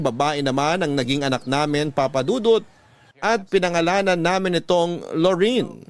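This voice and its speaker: A Filipino man reading a scripted first-person story as a radio drama narrator. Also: on the high side. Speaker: letter-sender narrator. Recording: music bed under the voice.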